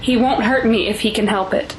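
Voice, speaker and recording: A woman's voice: on the high side, fairly even intonation, a little fast, music in the background, lightly acted, rough recording. A woman acting in an audio drama as an anguished, guilt-ridden mother, emotional and pleading. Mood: worried, subdued.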